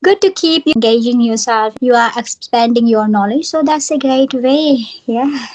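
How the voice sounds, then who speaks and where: A woman's voice: on the high side, moderate pace, a little mellow, low-quality recording. A middle-aged woman from Delhi with an Indian accent, explaining things instructively as an English teacher in a conversational lesson. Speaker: English teacher, channel host.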